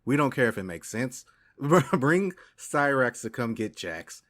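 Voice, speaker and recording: A man with a medium-pitched voice co-hosts a casual podcast. He talks with excitable enthusiasm, doubling up phrases and swearing freely, his pitch jumping around a lot. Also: measured pace. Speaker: podcast co-host.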